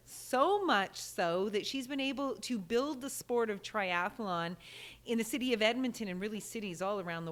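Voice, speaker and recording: A woman hosting an interview podcast, enthusiastic and lively, breaking into laughter. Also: on the high side. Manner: enthusiastic, warm-toned interviewer with bursts of laughter. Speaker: podcast host.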